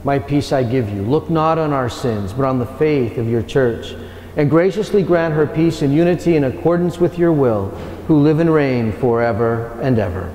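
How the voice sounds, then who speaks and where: A man serving as priest, leading the prayers of Mass in a measured, solemn way. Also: mid-range voice, lively intonation, dark tone. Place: large cathedral. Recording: echoey cathedral with long reverb, faint noise.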